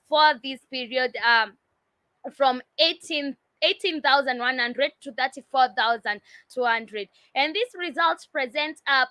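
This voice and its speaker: A woman hosting a news show, reading and commenting on stories with many fillers and restarts, her voice getting raspy. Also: high-pitched, bright, forceful tone, no room sound, low-quality recording. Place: indoors while it rains outside.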